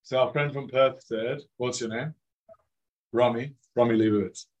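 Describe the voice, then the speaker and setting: A man's voice: mid-range voice, fairly even intonation, moderate pace, darkish tone, muffled recording. Chatty male rabbi teaching a class. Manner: casual and chatty, many "like" fillers, questions to the audience. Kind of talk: informal interactive lecture. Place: room with a live audience of students.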